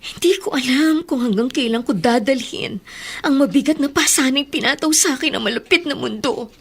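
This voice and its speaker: A woman's voice: sits high, normal speed, slightly rough. A woman with a Filipino accent playing an earnest, protective, self-doubting elder sister in a radio drama. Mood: worried, weary. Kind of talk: Tagalog radio drama acting.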